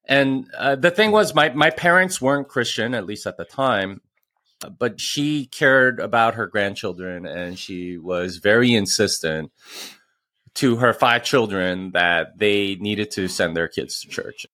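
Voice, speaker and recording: A man in his forties, born in Korea, talks as a podcast guest. His low voice has a forceful, bright edge, and it sounds dry and close. He speaks reflectively, stopping often to restart a thought. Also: no room sound. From South Korea. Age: 40s. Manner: reflective, pausing with frequent restarts. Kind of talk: conversational interview.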